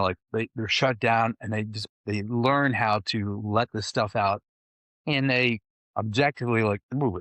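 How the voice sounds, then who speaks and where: A man's voice: fairly low pitch, fairly bright tone, no room sound. A man coaching clients, talking in a loose, rambling way with many fillers. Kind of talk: casual conversation.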